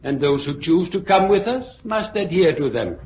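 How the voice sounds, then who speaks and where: An actor playing an elderly British sociology professor, pompous and pedantic, lecturing a meeting in a 1950s radio drama. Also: male voice, a little high, big pitch swings, darkish tone. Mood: dryly pedantic. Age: older. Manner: pedantic, deliberate, oratorical. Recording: muffled, noisy, echoey 1950s radio recording.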